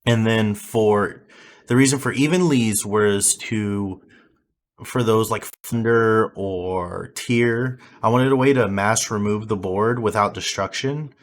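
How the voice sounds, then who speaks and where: A hoarse young man, having lost his voice, casually reviewing his card game deck with rambling fillers and restarts. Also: fairly low pitch, takes it slowly.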